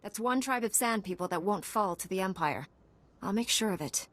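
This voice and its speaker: A woman's voice: mid-range voice, expressive, normal speed, fairly bright tone, lightly acted. An actress playing a resolute, earnest young female space knight in a video game.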